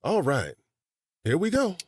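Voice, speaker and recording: A lively, high-voiced folksy archivist. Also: male voice, measured pace, a little hiss or hum. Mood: intrigued, explanatory. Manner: chatty, explanatory. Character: helpful, folksy middle-aged male historical society volunteer and newspaperman. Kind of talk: audio drama acting.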